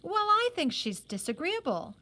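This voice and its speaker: A woman's voice: very high voice, very animated, moderate pace, pushed and bright, pitched above the performer's own voice, full character voice. A woman reading a storybook, voicing a hot-headed teenage boy who is blunt and scornful. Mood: disagreeable. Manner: blurting, emphatic, scornful.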